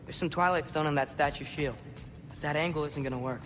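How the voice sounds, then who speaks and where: Man playing an earnest, higher-voiced teenage boy. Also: fast, over music, close to an everyday voice. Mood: curious. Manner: eager, inquisitive. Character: earnest, curious teenage boy, son of a warrior. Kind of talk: video game voice acting.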